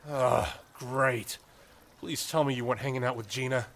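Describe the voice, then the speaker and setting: Man as a sardonic, bright-voiced street gang leader. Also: medium pitch, fairly even intonation, normal speed, voice pushed up, natural voice. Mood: exasperated. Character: tough, sardonic male leader of street hooligans.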